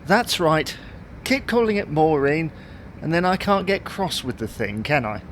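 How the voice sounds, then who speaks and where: High, lively, grumbling British husband, played by an actor. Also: male voice, a little slow, slight background noise. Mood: fed up. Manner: grumbling, placating. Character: grumbling, technophobic, long-suffering husband at the wheel. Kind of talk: domestic comedy audio drama.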